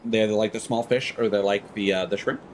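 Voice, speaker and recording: A man's voice: on the low side, steady tone, fast. Man chatting on a noisy game stream. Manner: rambling, opinionated explaining. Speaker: game livestream host.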